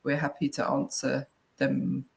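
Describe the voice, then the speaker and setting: A woman's voice: extremely low pitch, completely flat delivery, a little slow, vocal fry. A woman in her thirties co-hosting a live online work talk over a video call, casual and chatty with frequent tag questions. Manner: casual, uses tag "right?" often. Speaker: head of client accounts, co-host. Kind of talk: webinar conversation.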